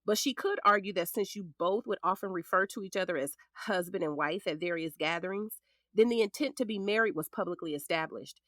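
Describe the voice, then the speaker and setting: A woman's voice: on the low side, fairly quick, fairly bright tone, no echo, studio quality, natural voice. An actress playing a frank, sassy middle-aged lawyer in a naturalistic audio drama, protective of the ex-husband she represents. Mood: measured, matter-of-fact. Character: frank, sassy female lawyer, protective ex-wife of her client. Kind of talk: naturalistic scripted drama dialogue.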